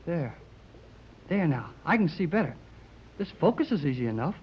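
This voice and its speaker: An eager, loyal teenage boy adventurer as acted for radio talks with slangy enthusiasm. His pitch leaps about in a lively way. It is a 1930s radio drama recording, dull and faintly noisy. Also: normal speed, close to an everyday voice.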